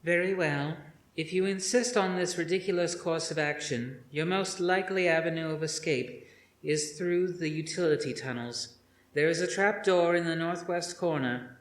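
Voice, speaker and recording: A man's voice: fairly high pitch, normal speed, pitched above the performer's own voice, close to an everyday voice. An audiobook computer intelligence, cold and haughty, played by a man in a raised, girlish voice. The words come formal, precise and condescending, with a roomy echo around them. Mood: haughty, resigned.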